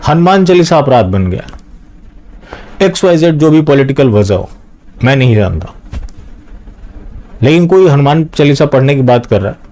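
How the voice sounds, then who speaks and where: Mellow-voiced man giving news commentary. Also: fairly high pitch. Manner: opinionated, poses rhetorical questions. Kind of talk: political news commentary to viewers.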